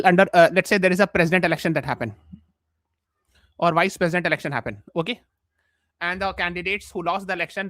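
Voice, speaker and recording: A feverish man with an Indian accent teaches a live online exam-prep class. His voice is fairly high and bright, recorded dry. He explains by repeating key points and tossing questions to the learners. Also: no room sound. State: mildly feverish.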